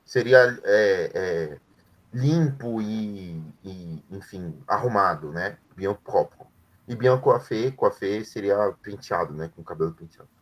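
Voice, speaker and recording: Male language teacher, lower register, patient step-by-step explainer. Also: slow. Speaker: French teacher. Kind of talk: explaining grammar in an online class.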